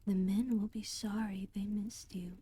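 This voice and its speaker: A woman whispering the lines of a bitter, tearful middle-aged woman outlaw in a storybook reading, sharp and reproachful. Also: mid-range voice, steady tone, a little slow, husky, no room sound.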